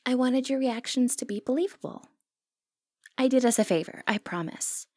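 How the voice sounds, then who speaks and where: High-voiced sly thief played by an actress. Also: female voice, normal speed, dark tone, no room sound, clean studio sound. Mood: sly, hushed. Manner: playful, cheeky, self-assured, breathy whispered asides. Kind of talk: scripted fantasy ASMR roleplay, addressing the listener.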